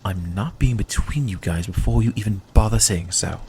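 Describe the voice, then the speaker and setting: An actor playing a sly, centuries-old vampire in an ASMR roleplay, speaking in a hushed, deliberate, guarded way. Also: low voice, slight background noise. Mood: grudging, wary.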